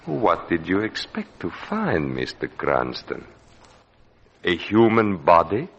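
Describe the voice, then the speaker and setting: Sinister, forceful henchman, old radio. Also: low-pitched, huge pitch swings, husky, full character voice. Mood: goading. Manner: slow-burning menace, formal phrasing. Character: menacing, unsightly puppeteer's assistant, sly and insinuating. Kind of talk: 1940s radio thriller acting. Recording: dull, noisy old radio recording.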